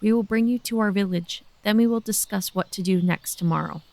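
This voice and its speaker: A woman performs a female villager from a sci-fi audio drama. Her voice is medium in pitch, and she speaks with earnest, wary deliberation. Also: brisk, vocal fry, a little mellow, no room sound, lightly acted. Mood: resolved.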